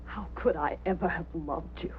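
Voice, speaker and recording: A woman's voice: lowish voice, moderate pace, close to an everyday voice. An actress playing the anguished, accusing wife of a missing man, pleading and reproachful in an old film drama. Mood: bitter, accusing. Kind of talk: mid-century American film drama acting. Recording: muffled, band-limited old film soundtrack, faint hum.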